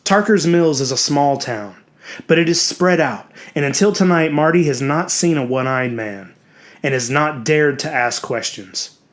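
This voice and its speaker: A man reading a horror story as a dramatic audiobook narrator, in a measured, expressive way with deliberate pauses. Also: on the high side. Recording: music bed under the voice. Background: background music.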